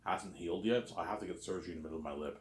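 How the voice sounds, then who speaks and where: Brief: man, 30s, low voice, rambling vlog monologue in an echoey room. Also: racing, a little hiss or hum. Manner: repetitive, keeps adding "obviously" and "man". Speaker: vlogger. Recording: roomy echo.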